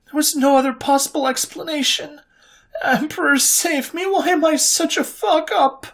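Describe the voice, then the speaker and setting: A woman's voice: high-pitched, steady tone, moderate pace, fairly bright tone, pitched above the performer's own voice. A storyteller voicing an anxious young woman officer who stammers and pleads, spiraling into self-blame.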